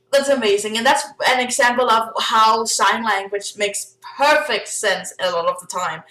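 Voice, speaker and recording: A woman's voice: fairly high pitch, pushed and bright. A young woman from Denmark with a Danish accent, chatting casually in a vlog with lots of fillers and giggles. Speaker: vlogger. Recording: echoey room.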